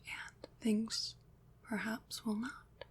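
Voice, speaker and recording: A woman playing a gentle, consoling witch in an ASMR roleplay, whispering breathily in a slow, soothing, deliberate way. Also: a little high, little pitch movement, husky, exaggerated voice. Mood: tender reassurance.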